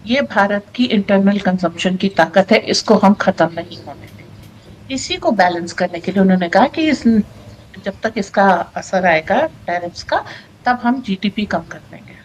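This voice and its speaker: A woman's voice: medium pitch, expressive, unhurried, poor audio. A woman talks through economic news off the cuff, with faint noise behind her. She stops to correct herself and mixes in English terms as she explains. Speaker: current affairs commentator.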